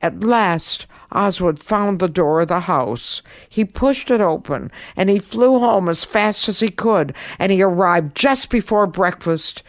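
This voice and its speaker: A woman narrating a children's story in an expressive, theatrical and emphatic way. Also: mid-range voice, unhurried, hardly any pauses, no echo, studio quality. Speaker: storyteller, guest podcast host.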